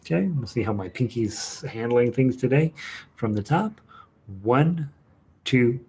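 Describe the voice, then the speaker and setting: A man's voice: mid-range voice, animated, measured pace, a little mellow, breathy edge. A man teaching a casual fingerstyle guitar lesson in an informal, hesitant way, as if thinking aloud.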